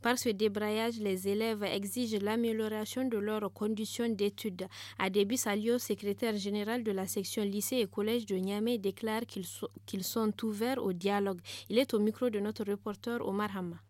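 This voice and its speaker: A woman anchoring a radio news bulletin in a measured, formal way. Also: medium pitch, steady tone, darkish tone, dry recording, clean studio sound.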